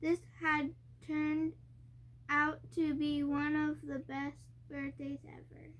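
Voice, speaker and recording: A young girl reading a storybook aloud haltingly, sounding out and stumbling over harder words. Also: high voice, flat delivery, dull audio. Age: child. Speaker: young reader co-hosting a family reading video.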